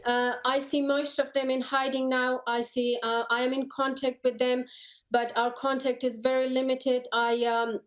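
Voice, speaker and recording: A woman in her thirties from Afghanistan gives a news interview in a fairly high, level voice. She is earnest and halting, often repeating words. The audio is slightly dull and lo-fi. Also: level tone. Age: 30s. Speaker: interview guest, lawyer and human rights advocate.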